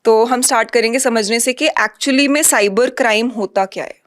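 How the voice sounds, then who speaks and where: Higher-pitched woman hosting. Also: normal speed, a bit bright. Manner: conversational, mixes in English words. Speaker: interview host. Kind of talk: conversational interview hosting.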